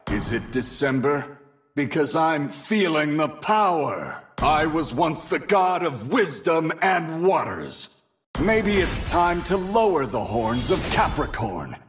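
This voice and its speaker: Video game conqueror: haughty, domineering celestial warrior, theatrical and measured, with very animated pitch. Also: male voice. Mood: proud, commanding. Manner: grandiose, theatrical, boastful declarations. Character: proud, domineering male Capricorn star warrior, boastful conqueror. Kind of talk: video game hero voice lines. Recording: game music and battle effects behind.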